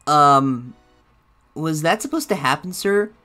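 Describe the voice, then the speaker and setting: An eager but unsure cartoon bear sidekick, performed by a man, reacting to videos. The voice is a little high for a male, and the manner is hesitant and always obliging toward the boss. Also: lively intonation, slow, sharp tone, soft background music, heavily acted. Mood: eager, puzzled. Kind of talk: character reaction commentary.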